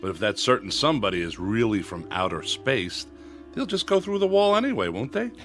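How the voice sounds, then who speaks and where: A man's voice: on the low side, big pitch swings, brisk, fairly bright tone, soft background music. An actor playing a laconic, folksy and plainspoken diner counterman in a radio play.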